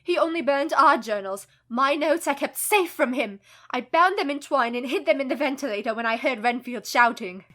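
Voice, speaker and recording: An actress playing a brave, distraught young wife in a radio play, intense, earnest and emotive. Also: female voice, sits high, normal speed, sharp tone. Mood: sober. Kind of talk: radio play dramatic acting.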